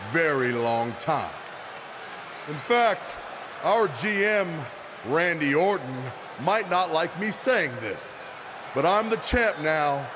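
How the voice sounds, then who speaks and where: Over crowd noise in an arena, a menacing video game wrestling champion delivers a boastful promo. His slightly high voice swings widely as he makes deliberate, arrogant threats. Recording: noisy arena with crowd behind.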